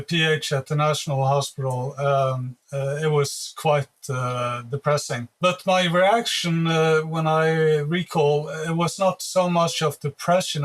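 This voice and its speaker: A Norwegian man in his late fifties, a native Norwegian speaker, talking in a measured, earnest podcast interview with many hesitant fillers. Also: a little high, fairly bright tone. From Norway. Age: 50s. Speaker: interview guest, patient advocate.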